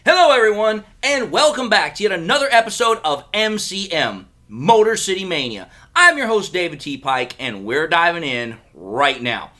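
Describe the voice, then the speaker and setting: A man hosts a sports fan show, talking casually and repeating himself as he addresses his viewers. His voice sits fairly high and is bright and forceful, with a slight crackle from a cold. His delivery moves up and down with a lot of life. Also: sing-song, moderate pace, hardly any pauses. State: under the weather, slightly crackly voice. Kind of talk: casual sports analysis talk.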